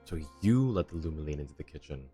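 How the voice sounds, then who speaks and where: A man with a deep voice streams a game. He talks in a casual, rambling, conversational way, with music playing in the background. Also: expressive, quick pace, mellow, breathy edge. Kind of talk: casual live stream commentary.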